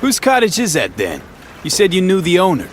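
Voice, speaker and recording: An actor voicing a calm, curious male mercenary with a dryly teasing manner in a video game. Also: high-pitched, brisk, a little hiss or hum. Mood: dry, weary.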